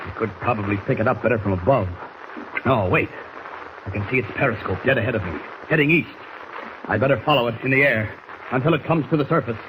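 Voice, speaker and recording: A man's voice: medium pitch, quick pace, natural voice. An American man playing a resolute superhero in a 1940s radio serial, thinking aloud in a clipped, declamatory way. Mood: determined. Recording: noisy old 1940s radio recording.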